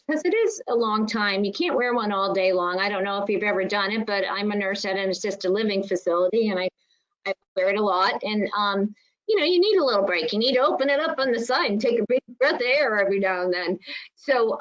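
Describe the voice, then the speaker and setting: Muffled meeting audio, emphatic explaining woman. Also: medium pitch. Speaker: school nurse coordinator on a panel. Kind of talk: informal spoken presentation and discussion in an online meeting. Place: online meeting call.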